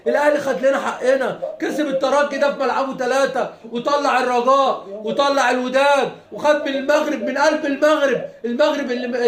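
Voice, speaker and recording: A hoarse Egyptian man with a high voice rants fast and heatedly about football, laughing between his lines. His worn voice bounces around an echoey room. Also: fairly bright tone. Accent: Egyptian Arabic. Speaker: football fan. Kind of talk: impassioned rant.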